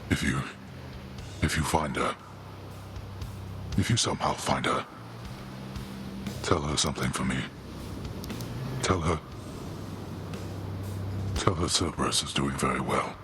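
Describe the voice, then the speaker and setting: An actor in a video game playing a stern, brooding old god of the underworld who speaks slowly and formally. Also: male voice, very deep voice, noisy background. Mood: defeated, grave. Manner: slow, imperious, formal, weighty speech. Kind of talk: dramatic video game voice acting. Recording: loud music over the voice.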